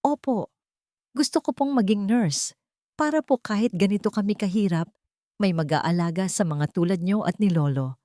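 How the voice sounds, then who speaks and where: A woman's voice: mid-range voice, normal speed, dry recording, clean studio sound, close to an everyday voice. A woman voicing a kind, respectful young peasant granddaughter in an audio story. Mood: wistful. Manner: gentle, respectful.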